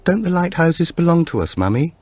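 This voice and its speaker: A man's voice: on the high side, sing-song, brisk, darkish tone, slightly rough, pitched above the performer's own voice. A man voicing an anxious little girl in an audiobook. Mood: curious. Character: anxious little girl calling for her mother. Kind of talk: audiobook character voice.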